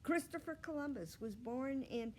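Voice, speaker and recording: A woman voicing a patient, slightly weary schoolteacher in a storybook, with measured, polite classroom speech. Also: sits high, lively intonation, voice pushed up.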